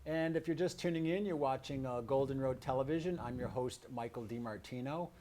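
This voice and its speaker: A man's voice: medium pitch, a little fast, mellow. A man hosting a live arts broadcast in a casual, conversational way. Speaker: host of a live arts radio and TV show. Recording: live broadcast with background noise.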